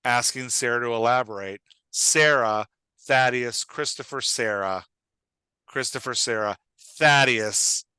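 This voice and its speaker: A man with a bright, forceful voice, recorded dry and close. He coaches conversationally and with emphasis, often stopping to check that his point lands. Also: mid-range voice, a little slow, clean tone, dry recording. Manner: emphatic, repeatedly checks for understanding; acts out short example lines of dialogue. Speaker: podcast host and writing coach.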